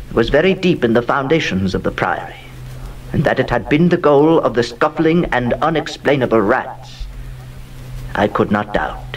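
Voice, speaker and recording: An actor playing an older gentleman narrator sinking into madness in a horror audio drama, speaking in literary phrases and halting, repeated words. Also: mid-range voice, far from the mic, rough recording.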